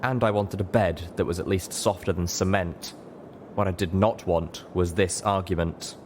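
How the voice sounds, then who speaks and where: A man's voice: low voice, steady tone, normal speed, darkish tone, a little hiss or hum. A man reading a story aloud as scripted dramatic narration. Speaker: audiobook narrator.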